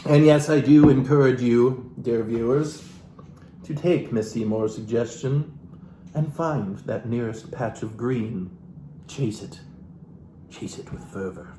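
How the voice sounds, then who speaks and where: A man from Alaska giving a comedic, theatrical book reading as host, florid and mock-genteel, with dramatic pauses and asides to his viewers. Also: medium pitch, mellow, slight background noise. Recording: roomy, echoey room sound.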